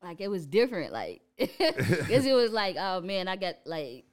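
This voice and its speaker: A young woman with a Chicago accent, a rapper talking casually in an interview, relaxed and laughing often. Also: on the low side, unhurried. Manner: laid-back, laughs often, many fillers. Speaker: rapper guest.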